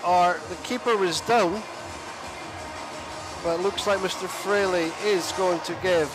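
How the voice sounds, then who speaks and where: A man giving live play-by-play commentary on an ice hockey game, chatty and self-deprecating, sometimes pausing to find his words. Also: sits high, steady tone, poor audio. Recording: music clearly audible behind the voice. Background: music.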